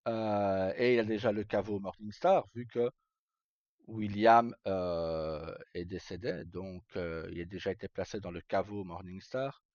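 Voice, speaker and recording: Low-voiced man, halting and rambling. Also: steady tone, a little mellow, no echo. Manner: halting, rambling, frequent self-repetition. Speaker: livestream host. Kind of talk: casual chat, recounting roleplay stories. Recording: dull lo-fi mic, dry.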